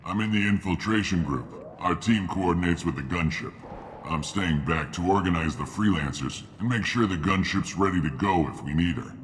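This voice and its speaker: An actor playing a gruff, cynical male mercenary sergeant and mechanic in a video game, with a curt, dismissive manner. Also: low voice, moderate pace, lightly acted. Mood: matter-of-fact. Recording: clear background noise.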